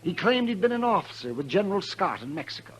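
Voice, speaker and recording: Crusty, lively frontier doctor. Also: male voice, sits high, quick pace. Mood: quiet, sympathetic. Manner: folksy, grumbling, chuckling banter. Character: crusty, teasing older frontier town doctor. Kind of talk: 1950s western radio acting. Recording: noisy old radio recording.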